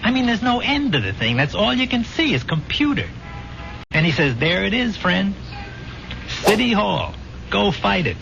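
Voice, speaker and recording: An American actor playing a brash, restless army buddy in a radio drama, with slangy, emphatic, streetwise talk. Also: male voice, high voice, sing-song, normal speed, vocal fry, exaggerated voice. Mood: awed, shaken. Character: brash, restless dead army buddy, fast-talking charmer. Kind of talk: 1970s radio drama acting. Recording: dull 1970s radio recording with music.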